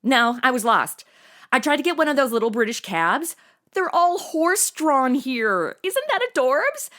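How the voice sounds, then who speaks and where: A woman's voice: sits high, very animated, a little slow, fairly bright tone, heavily acted. A woman playing a chatty, cheeky, jittery modern young woman in a comedy audio drama, slangy and over-caffeinated.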